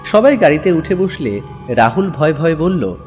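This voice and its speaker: Lively, higher-pitched male story narrator. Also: moderate pace. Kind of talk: dramatic scripted story narration. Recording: music behind the voice.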